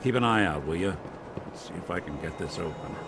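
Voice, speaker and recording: A low-voiced, middle-aged private eye, wry and streetwise. He talks in clipped, hard-boiled noir patter, watchful and knowing, as a video game character over clearly audible music. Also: animated, brisk. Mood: grim, wry.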